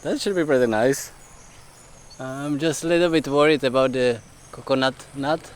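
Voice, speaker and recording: A male travel vlogger speaks off the cuff in an ordinary mid-pitched voice. He is halting, working out his thoughts aloud, with some outdoor and vehicle noise behind him. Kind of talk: casual, unscripted vlog talk. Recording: noisy outdoor and in-car vlog audio.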